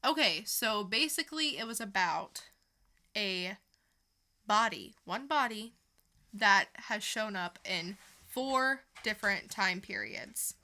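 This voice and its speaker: A woman's voice: medium pitch, lively intonation, takes it slowly, pushed and bright. A woman co-hosting a podcast, chatting casually in a hesitant, informal way. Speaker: podcast co-host. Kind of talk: casual chat.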